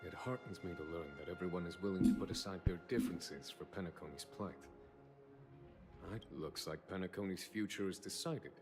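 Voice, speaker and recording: An actor playing a suave, courteous dignitary in a video game, speaking formally. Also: male voice, sits low, a little fast, music in the background. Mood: gracious. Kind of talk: game voice acting.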